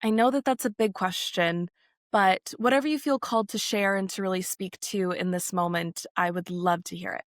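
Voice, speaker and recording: A woman's voice: mid-range voice, steady tone, normal speed, no room sound, clean studio sound. A young adult woman hosting an interview, asking open questions and affirming her guest's answers. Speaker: podcast host.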